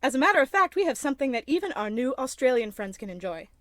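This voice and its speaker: A woman performs a chipper old-time radio pitchwoman. Her high voice sells eagerly in parody advert style, recorded dry and close. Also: big pitch swings, quick pace, fairly bright tone, no echo.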